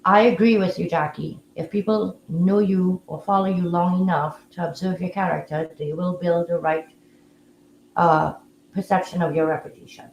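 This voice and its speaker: This woman hosts a casual live audio room with a somewhat low voice. She talks in a relaxed, musing way and fills her pauses with ums. The sound is roomy and echoey, with faint ambience behind her. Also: vocal fry, lo-fi sound, slight background noise. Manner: relaxed, musing, with frequent fillers. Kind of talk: casual live-stream chat.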